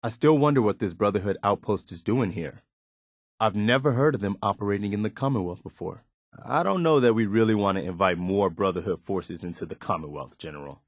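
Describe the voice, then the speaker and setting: An actor playing an earnest, dutiful militia soldier in a post-apocalyptic video game, speaking in a plain, folksy way. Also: male voice, on the low side, animated, fairly quick, no room sound, studio quality. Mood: earnest, wary. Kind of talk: video game companion voice lines.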